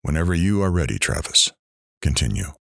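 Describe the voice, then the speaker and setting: An actor playing a calm, earnest radio talk host who speaks in a measured, reassuring, counselling way in an audio drama. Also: male voice, a bass voice, creaky, dry recording, studio quality, full character voice. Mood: gently concerned.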